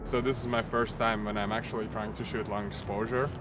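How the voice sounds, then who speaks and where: A man's voice: lowish voice, flat delivery, a little fast, loud music behind the voice. A young man from Latvia, chatting casually and joking through a travel vlog with a few swear words. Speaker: vlogger.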